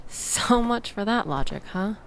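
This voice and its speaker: A woman playing a sarcastic young woman venting about her evening in an intimate, conversational audio roleplay, with expressive, wry delivery. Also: mid-range voice, slight background noise. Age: young adult. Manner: wry, sarcastic, animated storytelling with sighs and laughs.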